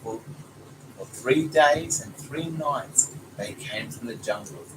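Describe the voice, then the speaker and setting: A man's voice: medium pitch, slow pace. A man reading a children's story aloud as a father does for his child. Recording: noisy home recording, clear background noise.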